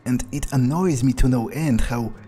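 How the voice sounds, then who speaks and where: A man's voice: mid-range voice, normal speed, mellow. Blunt, sweary man roleplaying a game. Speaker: game player speaking as his character. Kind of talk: in-character game roleplay commentary. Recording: faint music behind.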